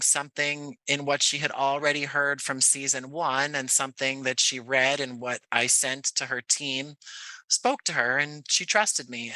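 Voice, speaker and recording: A man with a strikingly bright, sharp voice, recorded close and dry. He talks as a guest in a conversational interview, thinking aloud and rephrasing as he goes. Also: medium pitch, no room sound, rough recording. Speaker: interview guest, actor and audio drama creator.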